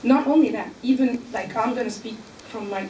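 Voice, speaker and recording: A woman from Egypt speaking on a panel, explaining earnestly with filler pauses. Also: fairly high pitch, warm tone, recorded from a distance, a little hiss or hum. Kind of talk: panel discussion. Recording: echoey room, mic from afar.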